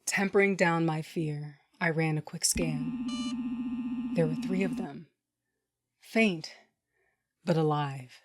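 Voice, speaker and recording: Woman as tough, low-pitched crime boss. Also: fairly even intonation, takes it slowly, pauses often. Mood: tense, focused. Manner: blunt, wry. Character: tough, sardonic female underworld boss narrating her story. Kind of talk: first-person dramatic narration and acting.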